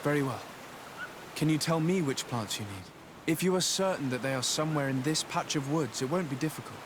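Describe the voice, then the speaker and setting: A man acts out a courteous noble envoy in a video game, confident and ready to help. His fairly high voice is formal and polite. Clear ambient noise sits behind it. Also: brisk, close to an everyday voice. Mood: reassuring. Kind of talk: video game cutscene acting.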